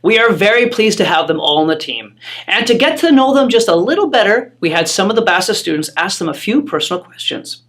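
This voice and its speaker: School newsletter narrator, formal, measured read. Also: medium pitch, animated, a bit bright. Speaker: newsletter presenter. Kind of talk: scripted announcement narration.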